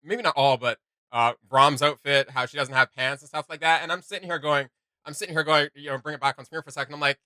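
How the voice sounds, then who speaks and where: A man with a fairly high, very bright voice hosts a casual reaction video. He rambles informally through his thoughts, and the sound is dry and close. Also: fairly even intonation, fast talker, no echo. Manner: rambling, giggly, informal, many "like" and "you know".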